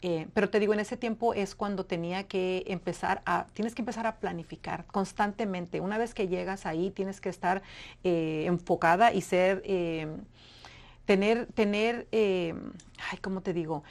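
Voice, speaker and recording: Mujer seria y emotiva contando su historia. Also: female voice, mid-range voice, normal speed, vocal fry, darkish tone. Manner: earnest, emotional, searching for words. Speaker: guest. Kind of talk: personal story in interview.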